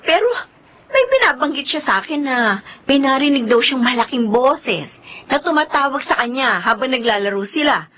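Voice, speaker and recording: A woman's voice: high-pitched, normal speed, rough recording. A woman playing an anxious, fussing young mother and wife in a radio drama. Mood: confiding. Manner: expressive, fussing.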